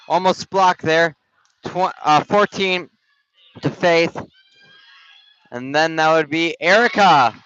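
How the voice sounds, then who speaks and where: A young man doing amateur play-by-play for a volleyball game over a headset, his voice slightly raspy, casual and halting with restarts. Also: on the high side, fairly bright tone. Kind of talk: amateur sports commentary. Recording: dull, dry gym-stream audio.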